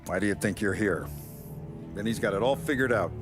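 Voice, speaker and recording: A man's voice: fairly low pitch, a little fast, close to an everyday voice. A man playing a hard, calculating 1930s crime boss in a video game, terse and commanding. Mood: cold, resolved. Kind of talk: dramatic video game cutscene acting. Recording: loud music under the voice.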